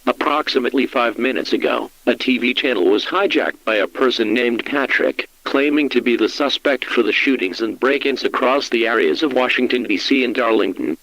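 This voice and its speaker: A man's voice: mid-range voice, a little fast, few pauses, a bit bright, no room sound, rough recording. A man voicing an impersonal, solemn emergency alert announcer, reading scripted official notices in a formal, instructional way.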